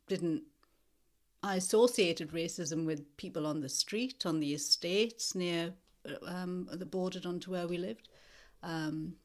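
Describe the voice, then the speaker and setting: A woman in her fifties from northeast England, a lecturer speaking as an interview guest in a thoughtful, measured way with many pauses. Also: on the low side.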